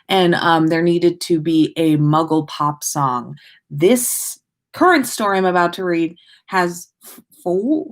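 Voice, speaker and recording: A woman hosting a livestream, chatting informally and reading stories aloud with expression. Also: lowish voice. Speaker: podcast livestream host reading listener stories. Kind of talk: casual livestream chat and expressive story reading.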